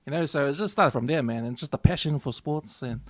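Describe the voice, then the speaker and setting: A man's voice: on the high side, brisk, no room sound. A man in his forties with a New Zealand accent, co-hosting a sports podcast in an easygoing, rambling way. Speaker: podcast co-host. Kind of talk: casual chat.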